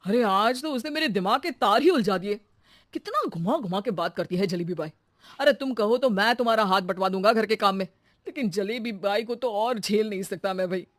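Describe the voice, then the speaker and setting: A man's voice: high voice, fairly quick, a bit bright, no echo, clean studio sound. A man playing an exasperated, theatrical householder in a comedy cartoon, complaining as if he is being driven mad. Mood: complaining, frazzled. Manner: complaining, melodramatic. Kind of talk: exaggerated Hindi cartoon comedy acting.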